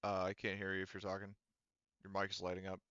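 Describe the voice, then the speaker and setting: A man working as game master, narrating a tabletop roleplaying game in a casual, halting way. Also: sits low, monotone, fairly bright tone, smooth, dry recording. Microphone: online voice chat microphone. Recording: dull, dry online voice chat.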